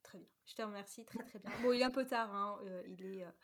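A woman's voice: mid-range voice, fast, fairly bright tone. A woman hosting a podcast, chatting in a friendly interview style.

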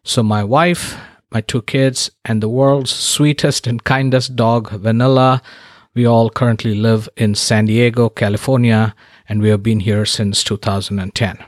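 Man, from India, podcast host, scripted monologue, earnest and emphatic, wry asides, mid-pitched, dry close mic. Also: fairly even intonation, a little slow, no room sound.

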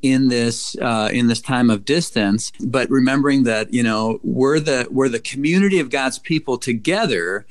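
A man, a pastor, speaking in a warm, encouraging and affirming way during a conversational discussion. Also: mid-range voice, moderate pace, hardly any pauses.

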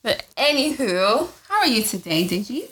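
A woman's voice: a little high, big pitch swings, moderate pace, fairly bright tone, low-quality recording. A woman co-hosting a podcast in casual chat, rambling and restarting her questions often. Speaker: podcast co-host.

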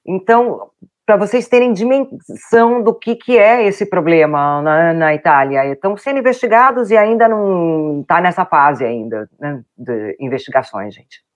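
Lively woman correspondent with Italian touches. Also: medium pitch. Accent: Brazilian Portuguese with Italian touches. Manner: laughing, lively, hesitant restarts. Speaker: foreign correspondent. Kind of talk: live remote report.

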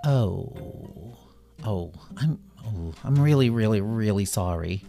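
A performer voicing a feisty, curious female cat in a children's story, excitable and expressive. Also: mid-range voice, normal speed, soft background music, close to an everyday voice. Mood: apologetic.

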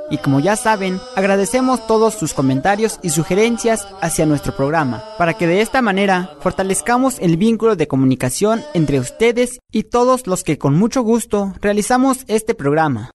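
A man's voice: high voice, expressive, moderate pace, hardly any pauses. A male radio host whose first language is Totonac, greeting listeners in a formal, friendly announcer manner.

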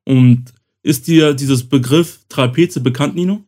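A man with a mid-range voice hosts a casual conversation, explaining things step by step. He pauses often with small fillers. His first language is Romani. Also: mid-range voice, warm tone. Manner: explains slowly with many fillers. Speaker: host. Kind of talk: casual podcast-style explainer.

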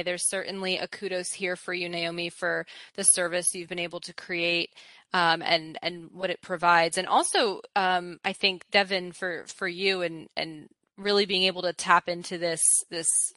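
Bright-voiced, enthusiastic woman moderating. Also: fairly low pitch, no echo. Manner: enthusiastic, affirming. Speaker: moderator, host. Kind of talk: moderating a virtual panel. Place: indoors, virtual event call.

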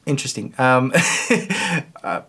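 A man's voice: medium pitch, lively intonation, takes it slowly, sharp tone, husky. A man whose first language is Turkish, a guest in a conversational interview, speaking hesitantly with many ums and restarts.

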